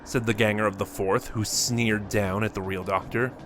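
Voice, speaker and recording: A man narrating a scripted story in a measured, steady reading. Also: lowish voice, a little hiss or hum.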